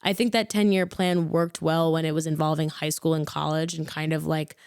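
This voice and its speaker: Twenties woman, actor guest, loose and chatty, a little low, dry close mic. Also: normal speed, vocal fry, smooth, no echo. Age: 20s. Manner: rambling, self-correcting, animated when excited. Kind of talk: casual interview chat.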